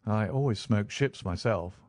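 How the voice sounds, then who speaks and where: A man's voice: fairly low pitch, unhurried, a little mellow, no room sound, clean studio sound, natural voice. A man voicing an affable, curious former army doctor, frank and inquisitive, in audiobook dialogue. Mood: frank, amiable.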